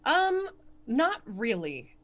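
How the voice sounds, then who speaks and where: Fast-talking young woman from Connecticut. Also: a little high, huge pitch swings, fairly bright tone. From Connecticut, USA. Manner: fast-talking, self-deprecating, many restarts. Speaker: stand-up comedian guest. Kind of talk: casual interview answers.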